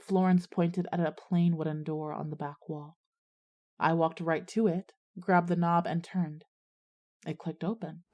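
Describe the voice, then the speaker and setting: Low-voiced schoolgirl leader. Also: fairly even intonation, unhurried, dull audio. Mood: suspenseful narration. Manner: decisive, organizing. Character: resourceful, take-charge schoolgirl narrator and group leader. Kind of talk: audio drama dialogue and first-person narration.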